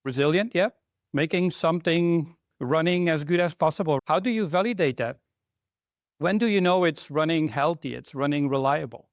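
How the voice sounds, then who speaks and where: A man's voice: a little high, moderate pace, dry recording, clean studio sound. A middle-aged man from Belgium giving a conference talk to a live audience, chatty and often asking the room questions. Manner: conversational, asks the audience questions. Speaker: conference speaker, technical trainer.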